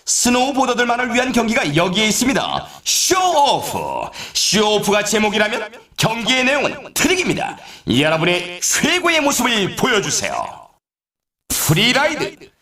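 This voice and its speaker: An actor playing an excitable, theatrical male announcer at an extreme snowboarding event in a video game, delivering scripted hype with showy exclamations. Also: sits high, moderate pace, reverberant, exaggerated voice. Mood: hyped, grand.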